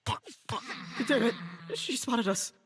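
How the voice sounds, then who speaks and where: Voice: mid-range voice, a little slow, close to an everyday voice. An actor playing a nervous, stammering young sidekick in a fantasy game, hesitant and anxious, in long reverb. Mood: panicked. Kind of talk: voiced fantasy game dialogue. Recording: long reverb, faint background noise.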